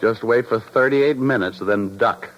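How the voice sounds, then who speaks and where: An actor playing a brisk, wry, impatient private detective in a 1950s radio drama, with a clipped, wisecracking delivery. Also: male voice, lowish voice, highs cut off, lightly acted. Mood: wry, tense. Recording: vintage 1950s radio recording.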